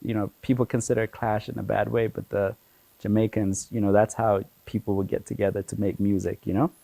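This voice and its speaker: A man in his forties from Ethiopia, a guest telling stories about his childhood in a relaxed, chatty way, with lots of "you know" and easy laughter. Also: lowish voice, a little fast, creaky, dry recording. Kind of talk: relaxed conversational storytelling.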